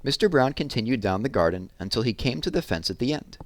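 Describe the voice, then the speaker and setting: A man reading a children's picture book aloud in a gentle, expressive storytelling manner. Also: mid-range voice, fast, no echo.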